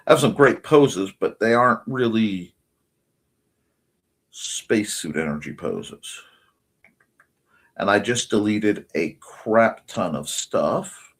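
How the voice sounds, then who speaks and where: A man hosting a casual drawing livestream, muttering and thinking aloud in fragments, with a slight speech impediment. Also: lowish voice. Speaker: livestream host and artist.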